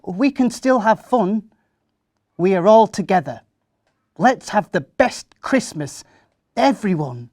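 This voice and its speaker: A man's voice: high voice, expressive, slow pace, pauses often, a little mellow, no echo, clean studio sound, pitched above the performer's own voice, heavily acted. A man voicing an emotional, earnest young boy in a children's Christmas story, speaking emphatically. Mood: earnest, cheerful.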